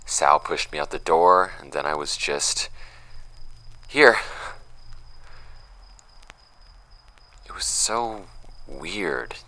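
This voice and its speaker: A man's voice: low-pitched, lively intonation, slow pace, pushed and bright, heavily acted. An actor playing a sarcastic young male investigator questioning a shady healer in an audio drama. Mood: shaken. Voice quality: sarcastic, incredulous. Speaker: interviewer.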